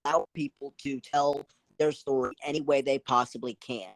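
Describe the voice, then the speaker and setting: A woman hosting a podcast interview, introducing the show and asking questions in loose, lively phrasing. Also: rumbling low, normal speed, dry recording. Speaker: podcast host.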